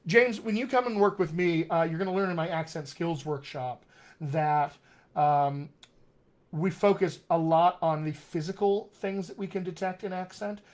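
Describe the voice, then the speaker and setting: A man's voice: on the high side, moderate pace. A man with a General American accent with hints of West Texas and upstate New York, hosting a casual live Q&A as a dialect coach in an upbeat, teacherly way. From Colorado, USA.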